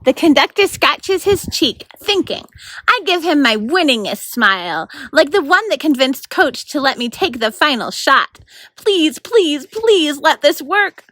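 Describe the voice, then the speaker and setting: A woman's voice: high voice, very animated, a little slow, fairly bright tone, no echo, rough recording. A woman reading scripted narration from a children's book as host of a story time.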